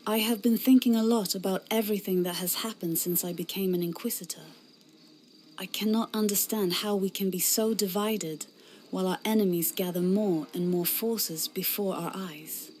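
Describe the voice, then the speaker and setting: A woman's voice: medium pitch, fairly even intonation, moderate pace, darkish tone, soft background music, close to an everyday voice. Earnest woman warrior voiced by an actress, game cutscene. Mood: troubled. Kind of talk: scripted video game cutscene acting.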